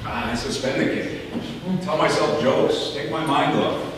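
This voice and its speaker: A man's voice: medium pitch, unhurried, natural voice. A man playing an anxious middle-aged father with wry humor in a live stage play. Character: anxious middle-aged father, wryly joking, avoiding a wedding. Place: theatre stage, live performance. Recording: echoey hall from far off, faint noise.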